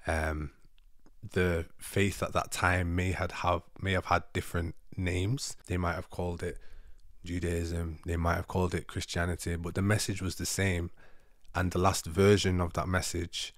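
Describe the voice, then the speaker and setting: A man's voice: sits low, level tone, moderate pace, no echo, clean studio sound. A man in his thirties, a native Urdu speaker, chatting casually and reflectively to camera in a rambling, self-correcting way. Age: 30s. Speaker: vlogger. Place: living room sofa at home. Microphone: handheld microphone held close.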